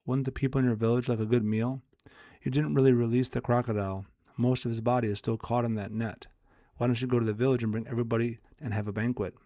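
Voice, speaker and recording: A man's voice: mid-range voice, steady tone, fast, dark tone, no echo, studio quality, lightly acted. Man reading as clever, bossy storybook rabbit. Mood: urgent, coaxing.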